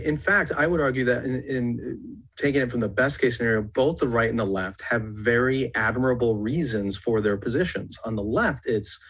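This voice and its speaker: A man explaining his view in a measured, conversational way on a podcast panel. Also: medium pitch, fairly bright tone, slightly rough. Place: indoor room, remote podcast call.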